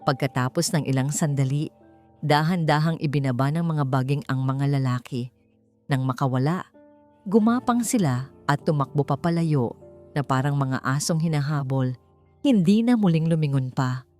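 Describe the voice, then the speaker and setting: A woman with a Filipino accent narrating a dramatic story in a measured, suspenseful way. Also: deep voice, dark tone. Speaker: audiobook narrator. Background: music.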